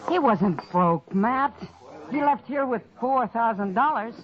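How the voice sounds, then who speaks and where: Frank, animated frontier saloon woman. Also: fairly high pitch, measured pace. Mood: matter-of-fact. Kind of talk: old-time radio western acting. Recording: dull old radio broadcast recording.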